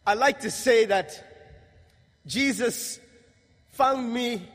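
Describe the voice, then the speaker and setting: A young man from Guyana giving a religious testimony to an audience, in a measured, emphatic delivery with pauses. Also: extremely high pitch, a bit bright. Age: young adult. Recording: long hall reverb.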